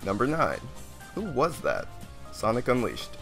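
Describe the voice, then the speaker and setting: A man's voice: mid-range voice, wildly swooping pitch, measured pace, gravelly, a bit bright, soft background music. A man reading a scripted countdown narration as the host of a video.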